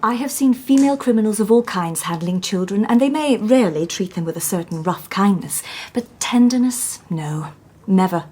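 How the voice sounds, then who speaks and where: A woman's voice: mid-range voice, lively intonation, moderate pace, mellow, husky. A shrewd Victorian lady detective. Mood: calm. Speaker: lady detective narrating and speaking. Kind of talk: radio drama narration and dialogue.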